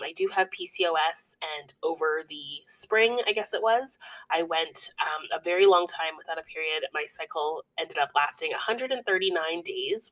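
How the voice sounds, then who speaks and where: Young woman podcaster, stuffy nose, lowish voice, rambly chatty, laughs a lot. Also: fairly bright tone, poor audio. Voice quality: chatty, laughs often, many fillers. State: congested from illness. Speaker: podcast host. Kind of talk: casual solo podcast chat.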